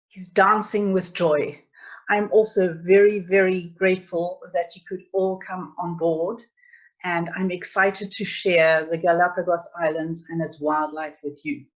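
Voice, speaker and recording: South African woman hosting a webinar. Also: on the low side. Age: middle-aged. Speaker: travel agency owner hosting a webinar. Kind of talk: presenting a live travel webinar. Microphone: computer mic on a video call. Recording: dull lo-fi webinar audio.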